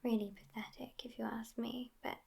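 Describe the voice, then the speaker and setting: A woman playing a sassy, world-weary immortal vampire girlfriend in a whispered ASMR roleplay, soft, breathy and intimate. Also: on the high side, flat delivery, moderate pace, heavily acted. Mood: wry, amused.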